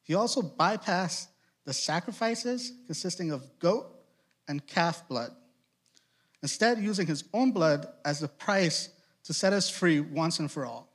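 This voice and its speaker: A man's voice: a little high, expressive. Trinidadian man preaching a casual sermon. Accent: Trinidadian, with Boston influence. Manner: conversational, asks rhetorical questions, quick asides. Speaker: student ministry director preaching.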